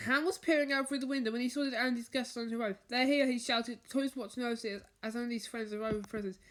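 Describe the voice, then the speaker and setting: A woman reading a children's bedtime story aloud, haltingly, stumbling over and repeating words as she goes. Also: fairly high pitch, steady tone, background noise. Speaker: storybook reader.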